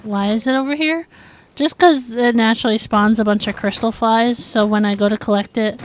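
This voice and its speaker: A woman with a slightly high voice chats casually as she streams a video game. She is talkative and easily amused, with a giggly, informal way of talking to her viewers. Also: fairly even intonation, fairly quick, slight background noise. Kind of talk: casual livestream gameplay chat.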